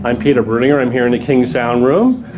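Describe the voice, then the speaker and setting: A man's voice: mid-range voice, fast talker, dull audio. Male interviewer with music behind him. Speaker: interviewer and audio show reviewer. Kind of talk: casual on-location product interview. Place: demo room at an audio show. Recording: music playing in the background. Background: music playing.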